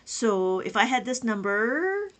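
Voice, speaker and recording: A woman's voice: on the high side, sing-song, takes it slowly, a bit bright. Chatty woman teaching a live math lesson. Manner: chatty, greets viewers by name, counts aloud. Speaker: abacus teacher.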